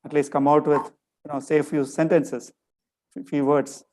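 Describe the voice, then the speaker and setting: A man's voice: on the high side, level tone, normal speed, darkish tone. A man from India with a Tamil English accent and a slight Malayalam influence, giving an earnest webinar talk over a video call and often repeating his key phrases. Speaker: guest lecturer. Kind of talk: webinar talk answering a question.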